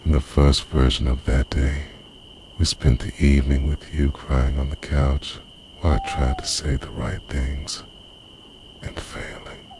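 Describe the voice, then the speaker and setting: Obsessive lover for ASMR roleplay, male actor: slow, intimate half-whisper, devoted, night insects audible behind. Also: very deep voice, fairly even intonation, noisy background. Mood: tender, intense. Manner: hushed, slow, intimate, often slipping into a whisper. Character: obsessive, devoted boyfriend who secretly time-travels, confessing to lover.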